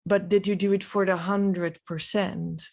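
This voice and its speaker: A woman from the Netherlands, a native Dutch speaker with a Dutch accent, giving a reflective, unscripted vlog monologue with halting, trailing phrases. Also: mid-range voice, flat delivery, gravelly, a little mellow. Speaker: spiritual vlogger.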